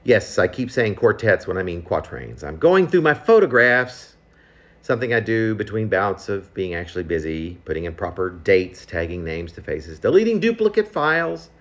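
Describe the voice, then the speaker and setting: A man's voice: sits low, big pitch swings, moderate pace, a bit bright, highs cut off. A man reading his own confessional poem aloud in a conversational, reflective and self-questioning manner. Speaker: poet reading own work.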